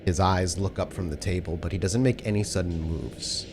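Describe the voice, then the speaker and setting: A man narrating a tabletop game as storyteller, setting scenes in a dramatic, deliberate way. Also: deep voice, steady tone, noisy background. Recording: prominent music behind the voice.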